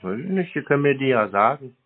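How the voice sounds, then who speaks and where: A man's voice: mid-range voice, sing-song, fast. A man on a phone call giving terse, laconic replies, often just one word. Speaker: person receiving a scam call. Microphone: telephone. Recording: narrow, muffled phone line.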